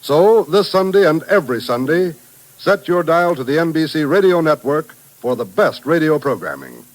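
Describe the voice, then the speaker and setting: Male American network announcer, fairly high voice, formal and polished, golden age radio promotional read. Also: sing-song, moderate pace, poor audio. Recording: vintage 1950s radio recording.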